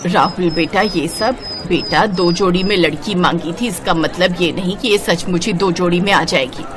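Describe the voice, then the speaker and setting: A woman's voice: fairly low pitch, normal speed, a crowd in the background, natural voice. A woman voicing a scolding, old-fashioned mother-in-law in a family cartoon, nagging and disapproving. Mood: grudging. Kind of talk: cartoon story voice acting.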